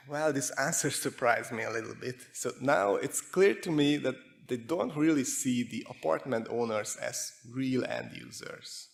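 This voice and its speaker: Hungarian guy doing a tech conference talk, creaky voice, talks in short careful chunks. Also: mid-range voice, measured pace. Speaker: conference speaker, security researcher. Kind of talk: prepared technical conference presentation.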